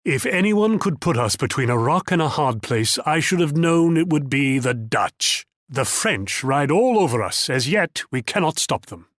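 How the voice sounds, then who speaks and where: Pompous Dutch commander, animated delivery. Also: male voice, a little high, normal speed, few pauses, no echo, studio quality. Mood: gloomy. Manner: pompous, patronizing, theatrical. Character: proud, condescending Dutch commander, wealthy and shrewd. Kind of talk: video game character voice lines.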